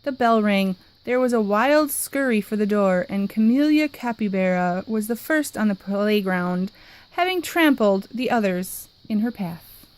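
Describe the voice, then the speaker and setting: A woman reading a children's picture book aloud in an expressive, lively way. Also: medium pitch, smooth. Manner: expressive, lively, dramatic pauses. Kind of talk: storybook read-aloud.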